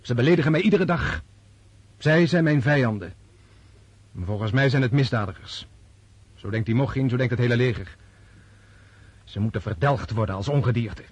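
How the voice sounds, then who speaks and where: An actor in a Dutch radio drama playing a disillusioned, resolute Russian officer prince, speaking in a deliberate, scornful way. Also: male voice, mid-range voice, breathy edge, no room sound, close to an everyday voice. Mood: fierce, resolute.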